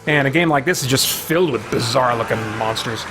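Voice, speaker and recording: A man's voice: mid-range voice, fast talker, over music, low-quality recording. A man hosting a video game livestream with casual, rambling commentary, breaking off mid-thought to react to the game and chat.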